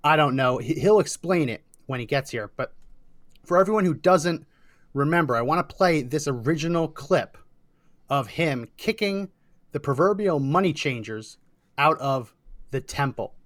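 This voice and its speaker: A man's voice: fairly high pitch, animated, moderate pace, a bit bright, no echo, studio quality. A man hosting a talk show, chatting casually, teasing his co-host and laughing often.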